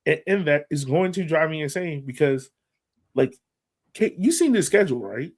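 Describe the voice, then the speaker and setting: A man's voice: a little high, expressive, moderate pace. A man co-hosting a sports podcast in casual fan chat, talking emphatically and repeating phrases to drive points home.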